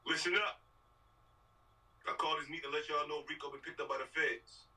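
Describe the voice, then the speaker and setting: A man playing a commanding crew boss, speaking forceful slang in a film table read over a video call. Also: on the high side, steady tone, fairly quick, pitched above the performer's own voice. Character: commanding male street crew boss calling a meeting. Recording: distant mic on a video call.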